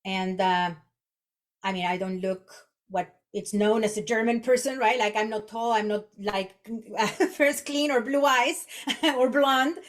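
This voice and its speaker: A woman's voice: on the high side, unhurried. A woman in her forties from Mexico, a native Spanish speaker with a Mexican accent, reflecting conversationally as an interview guest and often restarting her phrases. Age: 40s. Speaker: podcast guest, executive coach.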